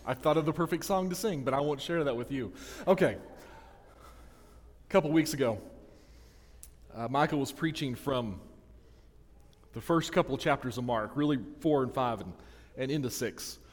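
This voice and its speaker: Preacher in a big reverberant church. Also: male voice, medium pitch, normal speed, long pauses. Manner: conversational and self-deprecating, with restarts. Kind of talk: sermon. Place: church sanctuary. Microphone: handheld or stand microphone.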